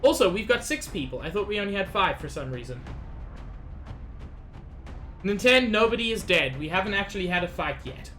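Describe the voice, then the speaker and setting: A woman's voice: medium pitch, expressive, a little fast, pauses often, fairly bright tone. A slightly sick woman streaming a game, chatting anxiously with her viewers in casual commentary. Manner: chatty, anxious, talks to chat. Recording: faint game music behind.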